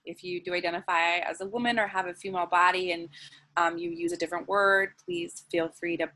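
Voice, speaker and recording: A woman interviewed as a guest, talking in a soft, flowing way with many "like"s and "um"s, then leading a guided breathing practice. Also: lowish voice, steady tone, fairly quick. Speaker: guest, sensual wellness teacher.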